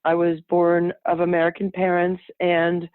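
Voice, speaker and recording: A woman's voice: lowish voice, monotone, normal speed, dry recording. A woman whose first language is French, a podcast guest telling her story in an earnest, rambling way with frequent restarts. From France. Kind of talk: conversational interview storytelling. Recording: dry tinny phone-like line.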